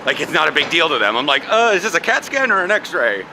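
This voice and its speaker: A young man from the United States rambles through a casual vlog, laughing easily as he talks. His voice sits a little high for a man. Street and car noise comes through clearly behind him. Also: very animated, quick pace. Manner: chatty, rambling, laughs often. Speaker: vlogger. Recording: clear traffic noise outdoors.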